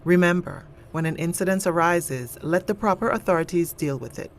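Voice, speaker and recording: A woman's voice: lowish voice, brisk, creaky, a little hiss or hum. A woman narrator delivering scripted dramatic narration.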